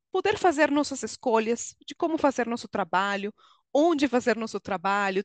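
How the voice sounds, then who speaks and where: A woman's voice: fairly high pitch, dry recording. A middle-aged woman with a Venezuelan Spanish accent, explaining as a podcast guest. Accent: Venezuelan Spanish accent in Portuguese. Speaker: guest, HR consultant and coach. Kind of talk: explanatory podcast interview talk.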